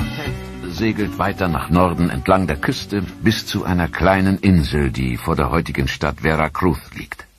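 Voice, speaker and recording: A man narrating a documentary in a dramatic, expressive scripted manner with rhetorical questions. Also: rumbling low, breathy edge, no room sound.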